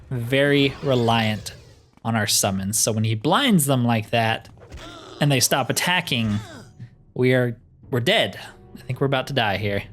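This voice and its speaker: A man's voice: mid-range voice, a bit bright, slight background noise. A man giving casual let's play commentary, thinking aloud as he plays and grumbling wryly. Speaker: gaming video host.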